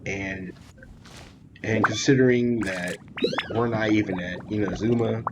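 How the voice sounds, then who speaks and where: A man's voice: on the low side, steady tone, a little slow. A man giving casual gameplay commentary as a streamer, reacting aloud to the story. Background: game music.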